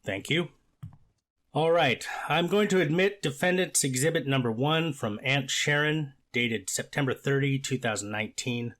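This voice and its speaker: A man playing a stern, measured judge who speaks firmly and deliberately in a courtroom transcript reading. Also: medium pitch, fairly bright tone, close to an everyday voice. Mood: formal, courteous. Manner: deliberate, firm, authoritative. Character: stern, measured male judge presiding over sentencing.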